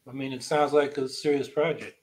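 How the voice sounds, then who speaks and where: A man's voice: medium pitch, fairly even intonation, fairly quick. An older man hosting a podcast, interviewing a guest over a video call, engaged and thoughtful in his questions. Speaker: podcast host. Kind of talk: casual interview on a livestream. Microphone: video call webcam.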